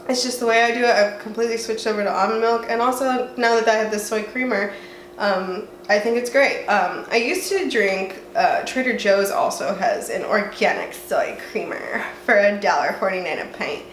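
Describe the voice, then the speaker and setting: A young woman from the southwestern United States, talking in a chatty, rambling way with a congested voice from a cold, at home. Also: on the high side. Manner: chatty, rambling, self-mocking. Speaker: vlogger. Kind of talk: casual chat. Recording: roomy echo, faint room noise.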